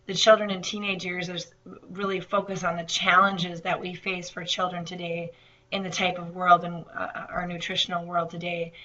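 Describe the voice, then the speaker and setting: A woman nutrition instructor giving a recorded online lecture, her voice slightly raspy from allergies, with many fillers and restarts. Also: lowish voice, flat delivery, moderate pace, sharp tone, muffled recording. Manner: hesitant, many fillers and repeated words.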